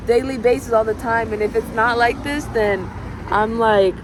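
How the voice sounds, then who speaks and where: A woman's voice: fairly high pitch, fairly even intonation, normal speed. Musing young woman, Spanish her first tongue. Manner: musing, filler-heavy, drifting between thoughts. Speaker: vlogger. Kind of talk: rambling reflective monologue. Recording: street and traffic noise behind.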